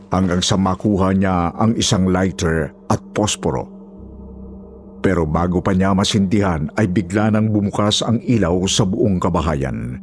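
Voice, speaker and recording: A deep-voiced man narrates a scripted horror tale. He is the storyteller, with faint music playing behind his low voice. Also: a little mellow.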